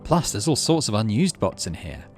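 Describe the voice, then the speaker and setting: A man's voice: fairly low pitch, very animated, normal speed, soft background music. A man giving casual let's play commentary, thinking aloud through his choices and reading out game text. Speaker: gaming video host.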